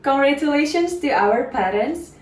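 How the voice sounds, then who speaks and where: A woman's voice: sits high, expressive, a little slow. A young woman with an Indonesian accent speaking English politely and carefully on a video call. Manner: polite and careful, with some hesitant fillers. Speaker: graduate student speaker. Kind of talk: video call and formal speech. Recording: distant, echoey video call audio.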